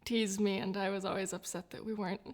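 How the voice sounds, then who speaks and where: A woman's voice: mid-range voice, flat delivery, fast talker. A woman in her twenties, a violinist raised in the United States, speaking reflectively in a documentary interview and laughing often. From New Zealand, raised in the United States. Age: 20s.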